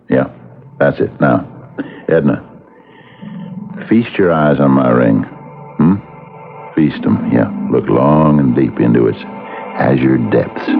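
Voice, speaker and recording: Very deep gravelly domineering husband. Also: male voice, heavily acted. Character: husband who boasts of hypnotic power, domineering. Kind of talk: old-time radio drama acting. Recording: muffled old radio broadcast, music behind.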